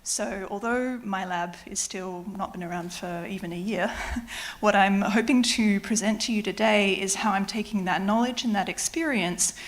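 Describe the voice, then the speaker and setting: An Australian woman with a faint British tinge in her speech explains biology to a public audience. Her delivery is clear and patient, with the odd wry aside, in a mid-range voice. She speaks into a microphone in a lecture hall. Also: medium pitch, moderate pace. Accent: Australian with slight British influence. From Adelaide, Australia. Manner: explanatory, with light self-deprecating asides. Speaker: university researcher giving a public lecture. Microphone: microphone at a lectern or on her.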